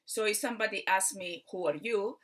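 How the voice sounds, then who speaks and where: A woman's voice: mid-range voice, a little fast, fairly bright tone. A woman from Barcelona with a Spanish accent, a mind coach giving an earnest spiritual teaching talk, explaining step by step with frequent fillers. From Spain.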